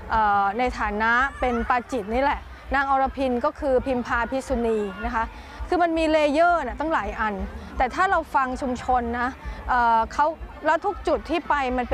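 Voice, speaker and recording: A woman's voice: high voice, slight background noise. Middle-aged woman explaining with fillers. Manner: animated, with fillers. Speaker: academic interviewee. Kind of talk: explanatory interview talk. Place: outdoors at ancient temple ruins. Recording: outdoor with faint ambience.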